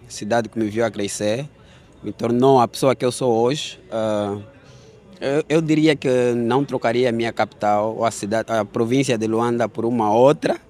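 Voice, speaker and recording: A man's voice: mid-range voice, a little mellow. A young man from Angola answering an interview question in a reflective, slightly hesitant way.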